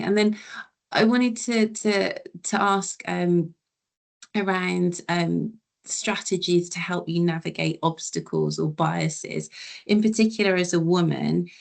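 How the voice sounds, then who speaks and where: A woman's voice: sits low, gravelly. A woman moderating a webinar panel conversationally, affirming others' points and thinking aloud as she puts questions.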